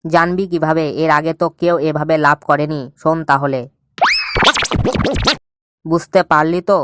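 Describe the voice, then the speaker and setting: A man voicing a sly, bossy jackal egg thief who talks smoothly and schemes in an animated cartoon. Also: a little high, moderate pace, over loud music, natural voice. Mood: scheming. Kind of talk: cartoon voice acting.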